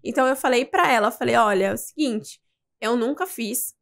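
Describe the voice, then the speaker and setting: A woman's voice: on the high side, vocal fry. A young adult woman, a veterinarian guest, telling stories in a casual podcast chat and acting out quoted dialogue. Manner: animated, quick storytelling with quoted dialogue. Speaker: veterinarian guest, pet transport specialist.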